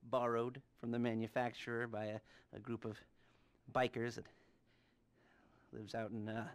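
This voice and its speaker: An actor playing an eccentric, nervous, giggly heist planner in a video game, halting and rambling with repeated words. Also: male voice, mid-range voice, little pitch movement, no echo, natural voice. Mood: eager briefing. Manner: halting, stammering, rambling with emphatic repetitions. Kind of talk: video game cutscene acting.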